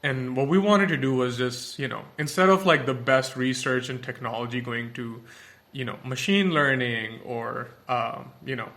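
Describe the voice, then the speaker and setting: A man's voice: mid-range voice, moderate pace, a bit bright. A young man from Pakistan, a tech company founder, answering podcast interview questions thoughtfully with many fillers and restarts.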